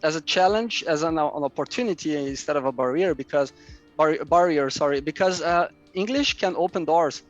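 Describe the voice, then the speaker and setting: A man's voice: fairly high pitch, fairly quick, muffled recording, soft background music. A man in his thirties from Brazil with a Brazilian accent and Portuguese as his first language, a guest in a casual chat who often repeats words as he searches for them. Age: 30s. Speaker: guest, map publisher.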